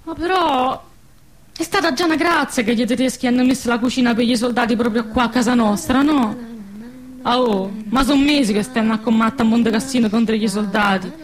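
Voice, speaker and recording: A woman's voice: a little high, fairly quick, natural voice. An actress playing a naive, chatty wartime village woman in a rural southern Lazio dialect, speaking emphatically. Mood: earnest, chatty. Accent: rural southern Lazio, central Italy. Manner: chatty, emphatic, tag questions. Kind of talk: dialect stage drama acting.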